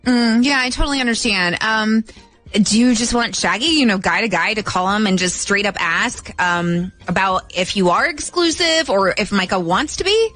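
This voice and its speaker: A woman's voice: mid-range voice, expressive, moderate pace, fairly bright tone, soft background music. A woman co-hosting a radio show, talking casually with a caller and drawing out details.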